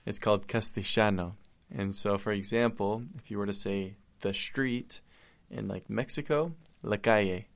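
A young man from Argentina, a podcast guest talking in an earnest, heartfelt way with halting restarts. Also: on the low side, vocal fry. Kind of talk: casual conversation.

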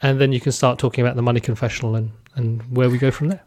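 A man's voice: mid-range voice, little pitch movement, very fast, a little mellow, a little hiss or hum. A man hosting a conversational interview, framing his questions at length in a thoughtful way. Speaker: interview host.

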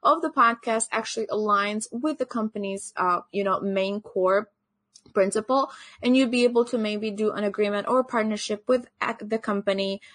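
A woman's voice: medium pitch, moderate pace, a bit bright, no echo. A young woman from Albania, speaking, gives an earnest online conference presentation as a podcast host, often saying "actually" and "you know.".

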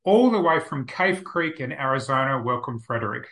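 Man, podcast host, bright crisp voice, upbeat, brisk questions. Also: mid-range voice, lively intonation. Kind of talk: interview hosting.

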